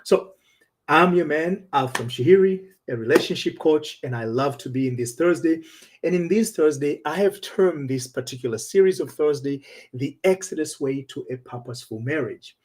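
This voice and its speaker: Male relationship coach, fairly high and husky, earnest storyteller, for a talk show monologue. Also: expressive, moderate pace, a little mellow. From Tanzania. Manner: earnest and personal, with frequent restarts.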